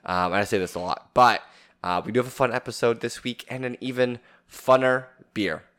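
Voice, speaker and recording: A man's voice: mid-range voice, a little fast, a bit bright, clean tone. A man co-hosting a podcast in casual chat, talkative and rambling. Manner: talkative and rambling, with many fillers and restarts. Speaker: podcast co-host.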